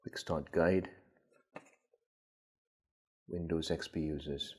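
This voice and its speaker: A man with a husky voice giving casual, tentative unboxing commentary, thinking aloud as host. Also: a bass voice, fairly even intonation, slow. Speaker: gadget collector and video host.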